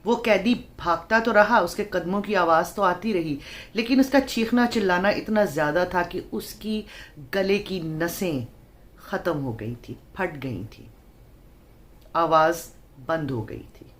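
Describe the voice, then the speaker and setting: A woman telling a horror story in a dramatic, suspenseful way, phrasing it deliberately with pauses. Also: lowish voice. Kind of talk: dramatic horror story narration in Urdu-Hindi.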